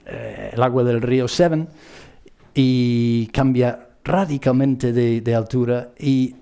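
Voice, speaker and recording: Mellow British man presenting, halting delivery. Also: medium pitch, gravelly, clear, resonant tone. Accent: British English. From Bristol area, England. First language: English. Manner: halting, searching for words, repeating himself. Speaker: conference speaker. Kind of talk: public presentation with examples.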